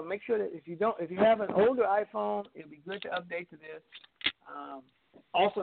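A man's voice: on the high side, gravelly, background noise. A male co-host chatting casually about tech news, hesitant and full of filler words. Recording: tinny phone line.

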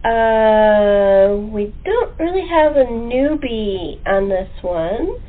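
A woman with a fairly high voice hosts a casual chat, taking her time over the words. The sound is thin and narrow, like a phone line. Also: expressive, extremely slow. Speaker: podcast host.

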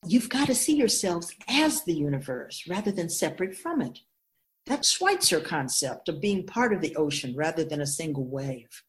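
Radio drama: ageless female keeper of souls an actress, wise and instructive, deliberate pauses, lower register with animated melody. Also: slight background noise. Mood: reverent, solemn. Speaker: teacher figure in a radio drama. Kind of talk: scripted radio drama, explanatory dialogue.

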